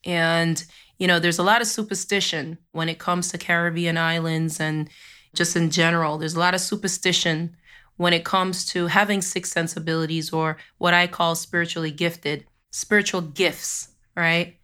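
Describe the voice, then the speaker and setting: A Jamaican-born woman hosts a spiritual podcast, her lowish voice smooth and clear despite the cold she is fighting. She talks in a loose, rambling way with plenty of fillers, after chanting slow affirmations. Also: little pitch movement, pushed and bright. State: has a cold, losing her voice. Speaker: podcast host.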